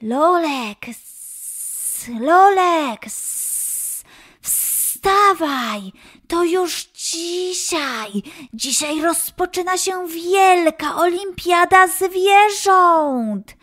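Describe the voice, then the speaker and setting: A woman voicing a young male snake in a children's story, urgent and friendly, with drawn-out hissing. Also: very high voice, animated, a bit bright, pitched above the performer's own voice, heavily acted.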